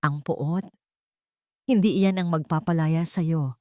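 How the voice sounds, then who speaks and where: A woman's voice: low-pitched, steady tone, slow, dark tone, no room sound, clean studio sound. A woman narrator voicing a resolute, forgiving young mother in an audiobook, solemn and heartfelt.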